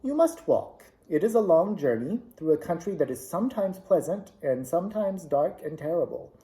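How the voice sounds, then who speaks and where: A man voicing a kindly little old witch woman in a storybook reading, with a gentle, solemn manner, raised higher than his own voice. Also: very animated, moderate pace. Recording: echoey room.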